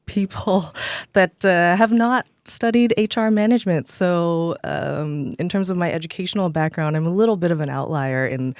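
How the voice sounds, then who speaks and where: American woman, podcast guest; smooth, crisp voice, dry close sound. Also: on the low side, normal speed, no room sound. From Los Angeles, United States. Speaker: head of talent acquisition, guest. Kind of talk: podcast interview.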